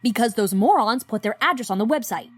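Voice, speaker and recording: A woman's voice: on the high side, animated, a little fast, faint music behind, close to an everyday voice. A woman playing an eager, insistent research partner in an audio drama, blunt and impatient.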